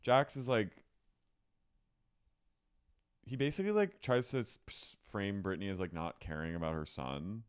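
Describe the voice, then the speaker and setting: A man co-hosting a casual podcast, retelling reality-show scenes and quoting the people in them. His voice is very low but swings widely in pitch, with a smooth, resonant tone. The sound is dry and close. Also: normal speed, long pauses. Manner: animated, retells scenes with quoted lines. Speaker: podcast co-host. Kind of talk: casual chat recapping reality TV.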